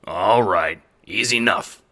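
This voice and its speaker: A man voicing a big, gruff prison-guard thug in an audio drama, talking hesitantly in gangster slang. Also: on the low side, a little slow, sharp tone, full character voice. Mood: gruff, skeptical.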